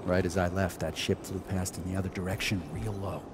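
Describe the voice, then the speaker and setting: A man's voice: low-pitched, flat delivery, brisk, background noise, natural voice. An actor playing a blunt, sarcastic male colonist scout.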